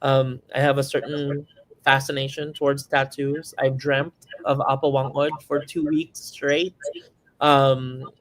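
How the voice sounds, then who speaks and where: A man's voice: medium pitch, little pitch movement, measured pace, fairly bright tone, poor audio. A young man from the Philippines, a filmmaker speaking reflectively as an interview guest, with frequent restarts. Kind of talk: casual interview.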